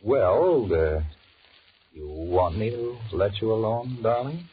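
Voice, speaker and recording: An actor with an American accent playing a cowardly, irritable cheating husband in a 1940s radio drama, switching between muttered thoughts and halting sweet talk. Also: fairly low pitch, animated. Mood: nervous, evasive. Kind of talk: 1940s radio horror acting with inner monologue. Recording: muffled, noisy 1940s radio recording.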